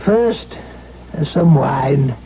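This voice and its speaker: A man's voice: medium pitch, lively intonation, very slow. A man playing a courtly, cryptic old alchemist in a radio drama, formal and wryly hospitable. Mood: courtly, commanding. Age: older. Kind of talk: 1970s radio drama acting. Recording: dull, hissy 1970s radio broadcast.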